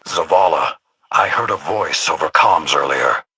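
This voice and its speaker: A man voicing a stalwart, protective old warlord in a video game, gravelly, raspy and steady. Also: extremely low pitch, a little slow, slight background noise, exaggerated voice, low-quality recording. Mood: concerned. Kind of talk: dramatic video game voice acting.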